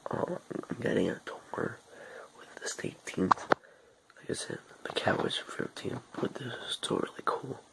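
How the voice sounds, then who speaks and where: Low, gravelly young male vlogger. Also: huge pitch swings, moderate pace, in a whisper. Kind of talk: casual vlog chat. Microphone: handheld phone. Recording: noisy handheld phone audio.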